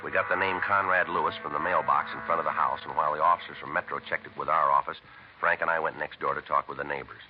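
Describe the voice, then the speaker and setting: Stoic American cop narrator, very low voice, quick matter-of-fact delivery, vintage radio crime drama. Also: male voice, heavy background noise. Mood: flat, businesslike. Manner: deadpan, terse, matter-of-fact. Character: stoic, businesslike male police sergeant narrating a case. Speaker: lead actor and narrator. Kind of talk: 1950s radio police drama, clipped scripted narration. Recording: dull vintage radio recording with music.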